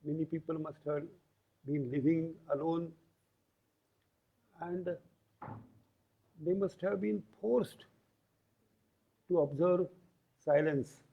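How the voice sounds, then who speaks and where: A man giving an explanatory lecture, deliberate and pausing between short phrases. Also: fairly high pitch, steady tone, vocal fry. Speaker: yoga lecturer. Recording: tinny, phone-like band-limited audio.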